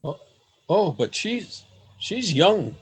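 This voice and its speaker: A man playing an earnest, theatrical young-hearted poet who narrates a radio play, with a husky voice. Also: high-pitched, very animated, takes it slowly, full character voice. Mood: earnest, smitten. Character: earnest, wide-eyed male poet newcomer, narrating. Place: remote home recording over video call. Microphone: video call.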